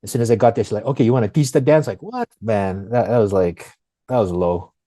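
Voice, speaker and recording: A man's voice: lowish voice, quick pace, warm tone, dry recording, clean studio sound. A man from Fiji with a Fijian accent, chatting casually and informally as an interview guest. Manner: informal, often says "man" and "you know". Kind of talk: casual conversational storytelling.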